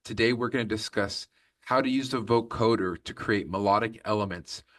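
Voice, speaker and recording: Low-voiced man giving a tutorial, slightly distant. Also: moderate pace, a bit bright. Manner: step-by-step, often checking with "okay?". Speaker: music production tutorial host. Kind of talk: instructional tutorial narration.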